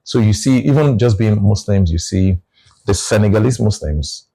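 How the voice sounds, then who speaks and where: A Nigerian man talking through his views in a relaxed conversation, often restarting his phrases. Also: lowish voice, normal speed, creaky, slightly rough. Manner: reflective, restarts phrases, explains with examples. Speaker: guest. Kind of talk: casual conversation.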